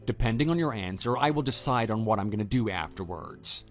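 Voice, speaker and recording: A man playing a level-headed, skeptical husband with a measured, hesitant delivery in an audio drama. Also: fairly low pitch, animated, faint music behind, close to an everyday voice. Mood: skeptical, controlled. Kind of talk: scripted texting-story radio drama.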